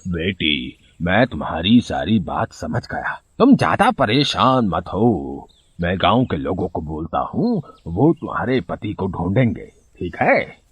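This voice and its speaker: Man as an old village headman, cartoon, kindly, cautious, slow, expressive pitch. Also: husky, no echo, muffled recording, heavily acted. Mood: reassuring. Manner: slow, reassuring, deliberate.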